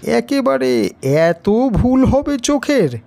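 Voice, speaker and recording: A man voicing an elderly village uncle in an audio story, bewildered and insistent, with halting, hesitant speech. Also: very high voice, big pitch swings, gravelly, dark tone, pitched above the performer's own voice, full character voice. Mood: puzzled, insistent. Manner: halting, drawn-out, with hesitant interjections.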